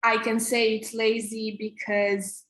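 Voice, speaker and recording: A woman in her thirties from Romania with a Romanian accent, teaching a live online coding class in a halting way, full of fillers and restarts. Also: fairly high pitch, level tone, fairly bright tone, reverberant. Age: 30s. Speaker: coding instructor.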